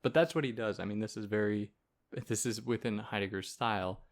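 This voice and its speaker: A man's voice: lowish voice, a little fast. Male podcast host, soothing voice, laid-back conversational lecture delivery. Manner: relaxed, conversational, with fillers and asides. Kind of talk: casual explanatory philosophy lecture.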